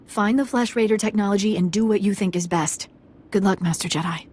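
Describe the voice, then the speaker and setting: An actress playing an earnest, resolute young village daughter in a video game, speaking politely. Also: female voice, medium pitch, fairly quick, a little mellow, a little hiss or hum, lightly acted. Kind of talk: video game dialogue acting.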